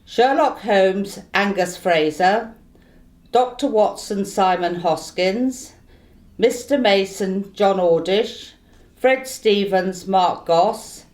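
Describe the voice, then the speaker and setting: Slow, measured British woman announcer. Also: medium pitch, darkish tone. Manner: measured, formal. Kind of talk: scripted credits announcement.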